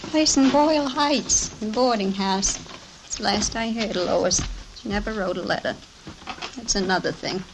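A woman's voice: medium pitch, moderate pace, natural voice. An actress playing a small, gray-haired laundry owner in a 1950s radio drama, a bitter, weary mother who talks plainly and complains with resignation. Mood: weary, resentful. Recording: noisy vintage radio recording with clicks.

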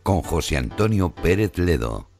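Very deep male announcer over loud music. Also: sing-song, unhurried. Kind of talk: scripted podcast jingle announcement.

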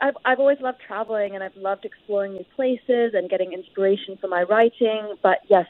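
A woman's voice: medium pitch, dry recording, narrow, tinny audio. A woman giving detailed, reflective answers as a guest author in a conversational interview.

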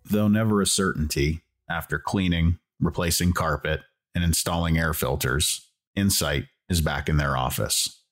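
A man hosting and narrating a documentary podcast in a measured, earnest, scripted delivery. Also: a bass voice, fairly bright tone, faint music behind.

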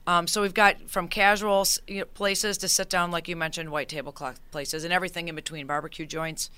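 A woman's voice: fairly low pitch, fairly even intonation, a little fast, bright, forceful tone, clean tone. A woman guest on a radio interview, an event organizer explaining things in an upbeat, informative way. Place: radio studio. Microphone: studio microphone.

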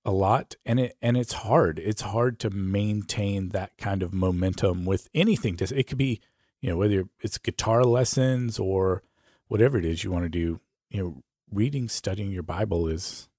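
A man's voice: fairly low pitch, moderate pace, a little mellow, dry recording. A middle-aged man co-hosting a casual podcast, chatting with dry humour. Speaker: podcast host. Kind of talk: casual conversational podcast talk.

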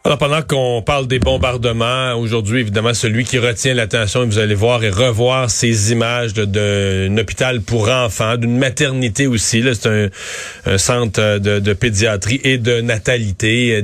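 A man's voice: mid-range voice, fairly even intonation, moderate pace, a bit bright, dry recording. A man hosting a radio talk show, speaking conversationally and prompting his guest with questions. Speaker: radio host. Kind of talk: radio interview.

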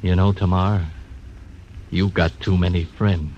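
Brief: a blunt western gambler, deep voice, muffled hissy radio sound. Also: male voice, little pitch movement, a little slow. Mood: menacing. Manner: menacing, blunt. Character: hard, fearless gambler and croupier. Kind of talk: 1940s radio acting. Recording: dull old radio recording, faint hiss.

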